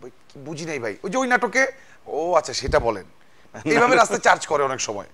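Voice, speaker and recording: A man's voice: on the high side, very animated, a little fast, bright, forceful tone. A man with a colloquial Bangladeshi accent telling casual anecdotes as a talk show guest, acting out the dialogue. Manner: acts out quoted dialogue. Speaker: actor guest.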